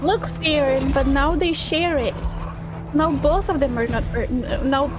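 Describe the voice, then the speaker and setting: A woman's voice: high voice, unhurried. A woman co-hosting a casual livestream, bantering in a playful, blunt, innocent-sounding way. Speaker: guest co-host reacting to game trailers. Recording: music behind the voice. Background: music.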